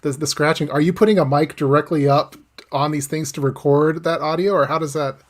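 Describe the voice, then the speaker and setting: A man hosting a casual live interview, talking in a halting way with lots of restarts and small laughs. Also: fairly high pitch, fairly even intonation. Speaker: host.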